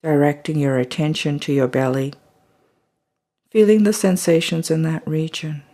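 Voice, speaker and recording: A calm meditation guide talks through a body scan in an unhurried, gentle way. Phrases fade softly, sometimes into a near whisper. The pitch sits at a middling level. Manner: slow, soft and calm, trailing into whispers at phrase ends.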